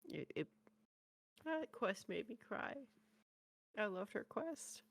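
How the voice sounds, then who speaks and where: A woman's voice: medium pitch, big pitch swings, takes it slowly, creaky, dry recording. A woman reacting as a co-host in casual chat, emotive and exclamatory in manner.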